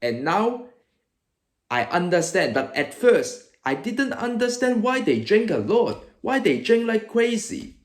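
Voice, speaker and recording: A man's voice: high voice, very animated, measured pace, roomy sound, a little hiss or hum. A man in his early twenties with a Hong Kong accent, presenting an exaggerated, emphatic comedic monologue to camera as a video host. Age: early 20s.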